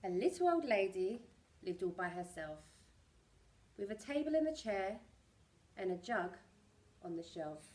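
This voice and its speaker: A woman storyteller reading a rhyming picture book aloud to young children in an expressive way. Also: medium pitch, a little slow, long pauses, far from the mic.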